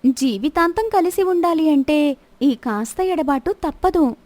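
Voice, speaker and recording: Woman voicing a high-pitched, bold young princess. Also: lively intonation, measured pace, a little mellow, dry recording. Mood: cautious. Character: bold young princess disguised as a palace servant.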